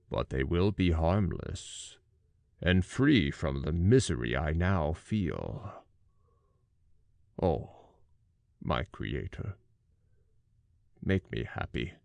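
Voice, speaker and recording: A wretched, vengeful fiend in a gothic audiobook. The voice is very deep and gravelly, creaking at the edges. It speaks slowly and dramatically, with big swings in pitch and a dry, close sound. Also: male voice, no room sound, full character voice. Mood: pleading. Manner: slow, weighty, dramatic delivery. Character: monstrous male fiend, miserable, vengeful, pleading. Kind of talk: audiobook character acting.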